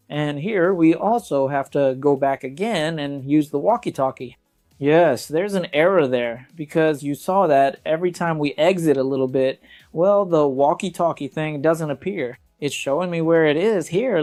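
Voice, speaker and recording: A man's voice: on the high side, measured pace, a little mellow. Man casually walking through gadget features, music behind. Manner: informal, addresses viewers directly. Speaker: tech gadget reviewer. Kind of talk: casual product walkthrough.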